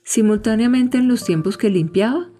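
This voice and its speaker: A woman's voice: on the high side, normal speed, dark tone, faint music behind. A woman, narrating a scripted story for a podcast.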